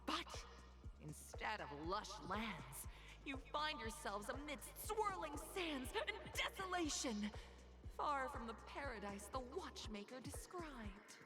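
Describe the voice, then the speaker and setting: An actress playing a gushing, theatrical drama judge who sets scenes in a video game. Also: female voice, high voice, sing-song, slow pace, heavily acted. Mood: dramatic. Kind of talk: video game character acting. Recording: music behind the voice.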